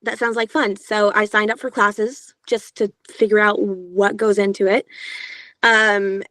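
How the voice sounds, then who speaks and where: A woman from Georgia in the US, an audiobook narrator, talking casually in an interview with a higher-pitched, younger-sounding voice and a chatty manner full of filler sounds. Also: little pitch movement, unhurried, fairly bright tone, no echo.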